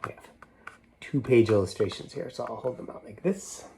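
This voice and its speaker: A middle-aged man reading a picture book aloud to children, gentle and unhurried, stopping to show the pictures. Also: medium pitch, a little hiss or hum. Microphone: camera mic, moving toward it to show pages.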